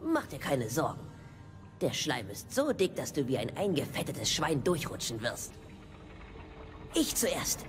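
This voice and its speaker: A little kender, boyish, curious and cheeky, with swooping, animated delivery. Music plays behind this radio play performance. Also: male voice, medium pitch, a little slow, pauses often.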